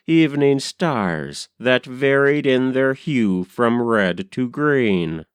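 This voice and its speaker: Guy reading a poem slow n measured, smooth clean voice, super dry recording. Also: mid-range voice, no room sound. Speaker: poetry reader. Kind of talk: measured literary poem recitation.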